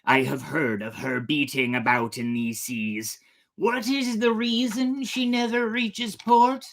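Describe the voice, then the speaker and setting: A man's voice: on the high side, fairly even intonation, slow, a bit bright, voice pushed up. A man voicing an old-time pirate sailor who tells a sea yarn in a theatrical storybook reading. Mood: mysterious.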